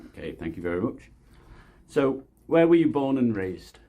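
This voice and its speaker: A man's voice: medium pitch, very animated, normal speed, narrow, tinny audio. A male interviewer asking short, plain questions.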